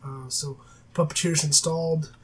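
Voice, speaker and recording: A man with a cold-roughened raspy voice, teaching a coding tutorial over a screen recording with many filler sounds and self-corrections. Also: on the high side, fairly even intonation, moderate pace, slight background noise. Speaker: programming tutor. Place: at a desk, voice-over of screen capture. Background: faint keyboard typing.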